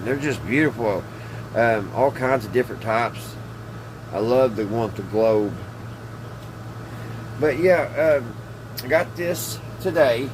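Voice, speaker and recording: A man's voice: medium pitch, slow, long pauses. A man hosting a casual hobby workbench video, rambling and hesitant as he thinks aloud. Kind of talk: casual workbench vlog about old radios. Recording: clearly noisy room, steady hum.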